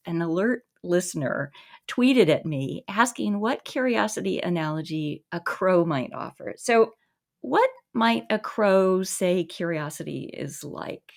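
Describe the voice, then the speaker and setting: A woman's voice: lowish voice, vocal fry. A woman hosting a studio interview show, asking thoughtful, musing questions. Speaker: podcast host. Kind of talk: interview conversation. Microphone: studio microphone.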